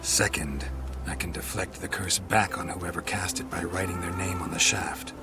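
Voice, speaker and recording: Deep, gruff monster hunter. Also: male voice, moderate pace, over loud music. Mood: deadpan. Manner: clipped, deadpan. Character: gruff, laconic male monster hunter, dry and weary.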